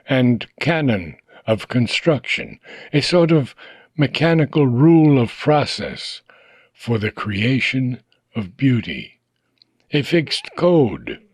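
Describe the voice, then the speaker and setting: A man reading a philosophical book aloud for an audiobook, in slow, measured phrases that trail off at their ends. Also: mid-range voice, no echo.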